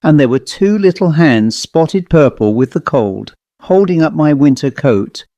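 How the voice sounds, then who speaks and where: A man reads a ghost story as a scripted first-person narration. His voice is mellow and softly toned, captured close and dry. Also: on the high side, animated, measured pace, no echo. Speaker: audiobook narrator.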